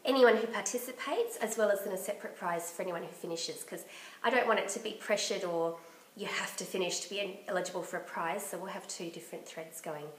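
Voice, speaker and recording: A woman hosting a knitting podcast in casual chat, her voice croaky from bronchitis, chatty and enthusiastic. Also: mid-range voice, fairly quick, roomy sound, poor audio. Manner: chatty and enthusiastic, laughs often.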